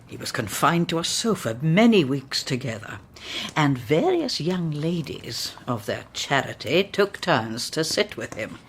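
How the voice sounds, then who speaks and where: Formidable Victorian mother-in-law, radio drama. Older, low, very animated, blunt, formal, emphatic. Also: a little slow, slight background noise, full character voice. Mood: grave, narrating. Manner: emphatic, formal, pronouncing judgements. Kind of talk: period radio drama acting.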